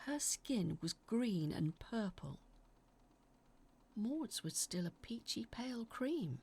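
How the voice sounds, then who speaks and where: A woman reading a scripted first-person horror story in a theatrical, expressive way, as its dramatic narrator. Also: lowish voice, gravelly, husky.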